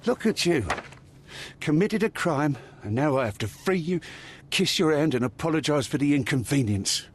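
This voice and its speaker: A man's voice: fairly high pitch, lively intonation, normal speed, background noise, lightly acted. An actor playing a contemptuous male police officer with a sneering, scornful manner.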